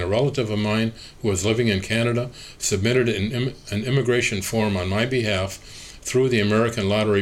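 Low-voiced man reading a transcript. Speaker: reader of an oral history interview transcript. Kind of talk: reading an interview transcript aloud, speaker labels included.

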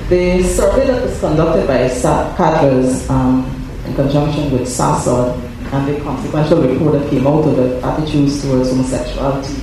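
A hoarse, scratchy-voiced man giving a formal prepared speech at an event, measured and careful, with small self-corrections. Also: medium pitch, dark tone. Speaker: invited speaker at a report launch. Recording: very echoey hall, mic far off, faint noise.

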